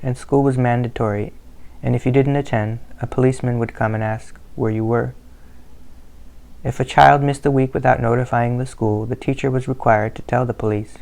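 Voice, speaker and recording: Flat-voiced gravelly male narrator. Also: mid-range voice. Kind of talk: scripted narration of a family history.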